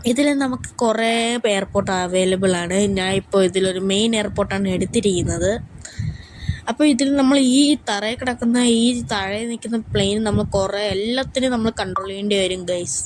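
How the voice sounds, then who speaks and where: Woman gaming commentator with noisy background. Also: mid-range voice. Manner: informal, repeatedly addressing viewers. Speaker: gaming YouTuber. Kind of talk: casual game showcase commentary.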